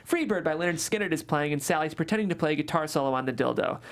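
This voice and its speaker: A woman's voice: low-pitched, lively intonation. Woman reading stage directions. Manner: brisk, matter-of-fact. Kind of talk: scripted table read narration.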